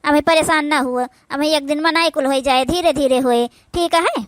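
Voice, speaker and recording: A woman with an Awadhi accent playing a gentle, patient young stepmother in a cartoon, coaxing and soothing as she speaks. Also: high-pitched, moderate pace, dry recording, clean studio sound, natural voice. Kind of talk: amateur comedy cartoon dialogue.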